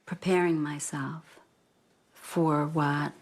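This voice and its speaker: An older woman speaking in a measured, deliberate and plain-spoken way in a reflective documentary interview. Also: low-pitched, little pitch movement. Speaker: bereaved mother giving testimony.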